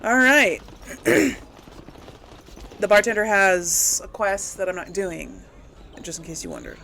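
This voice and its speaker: Lively, unhurried woman gamer thinking aloud. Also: mid-range voice, gravelly, a little hiss or hum. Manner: rambling, thinking out loud. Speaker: host of a gaming role-play series. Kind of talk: casual let's play commentary, talking to the audience.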